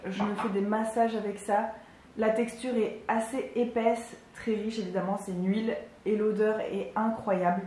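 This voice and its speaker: A woman's voice: medium pitch, darkish tone. Chatty young woman in an echoey room. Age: 20s. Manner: chatty, enthusiastic, many fillers. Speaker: beauty vlogger. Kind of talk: casual product review.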